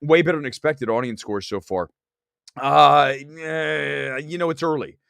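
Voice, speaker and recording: A man's voice: fairly high pitch, a little slow, creaky, fairly bright tone, dry recording, studio quality. A man with a slight cold hosting a movie talk show, giving emphatic, conversational opinions and reading out viewer comments.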